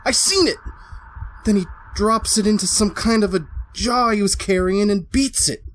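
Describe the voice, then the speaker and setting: An actor playing a streetwise small-time crook in a retro radio drama. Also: male voice, sits high, normal speed, fairly bright tone, rough recording. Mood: shaken.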